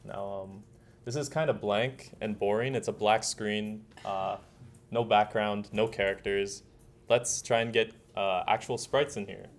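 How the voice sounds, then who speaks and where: A young man presenting a casual software tutorial, talking informally as he demonstrates, with keyboard typing. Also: lowish voice, fairly even intonation, measured pace. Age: young adult. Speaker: workshop presenter. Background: faint room noise, keyboard typing.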